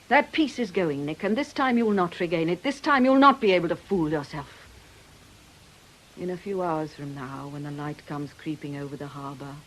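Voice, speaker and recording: Scornful, menacing coven leader, swooping delivery. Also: female voice, low voice, low-quality recording. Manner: imperious, scornful, theatrical delivery. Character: bitter, menacing woman occult coven leader and fortune-teller. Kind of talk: radio drama acting.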